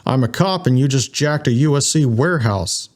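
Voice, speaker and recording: A man's voice: medium pitch, animated, moderate pace, voice pushed up, lightly acted. Casting: man to voice a pint-sized, officious male otter policeman, big attitude, audiobook sci-fi. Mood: stern, mock-threatening. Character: short, larger-than-life male otter security cop, stern.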